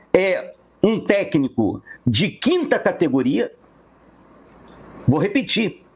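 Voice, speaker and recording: Brazilian male football fan, high swooping pitch, husky, indignant and emphatic rant delivery.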